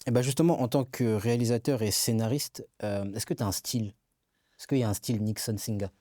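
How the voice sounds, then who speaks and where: A man's voice: mid-range voice, fairly quick, mellow, no echo, clean studio sound. A man hosting a casual interview, asking questions and giving brief replies.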